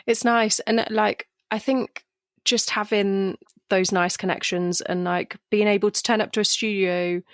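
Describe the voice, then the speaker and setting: A woman hosts a relaxed podcast chat, friendly and quick to laugh as she reminisces. Her voice is mid-pitched and sounds close and dry. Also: dry recording. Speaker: podcast host, stylist. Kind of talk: casual chat.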